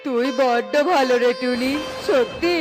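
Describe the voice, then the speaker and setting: A woman's voice: high voice, unhurried, over music. A woman voicing a sly, whiny male crow in a children's cartoon, melodramatic and full of exclamations. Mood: pitiful.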